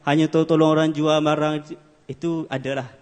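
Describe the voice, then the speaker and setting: A man's voice: on the high side, little pitch movement, a little fast, clean tone. Young man giving a hesitant award speech. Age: 20s. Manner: earnest, many hesitant fillers. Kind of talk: formal stage speech. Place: large ceremony hall. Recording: hall reverb.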